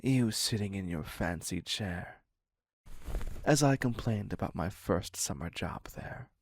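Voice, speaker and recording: A man's voice: low voice, expressive, measured pace, slight background noise. A man playing a theatrical, clingy serial killer in an audio roleplay, wry and mock-courteous as he dotes on his captive. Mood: wounded, coaxing. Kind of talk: scripted dark romance audio roleplay.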